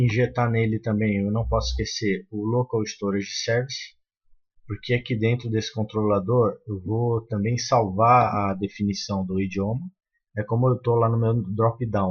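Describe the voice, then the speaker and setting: A man explaining code step by step in a screen-recorded tutorial, with many fillers and restarts. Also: mid-range voice. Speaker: programming tutor. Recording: muffled lo-fi sound.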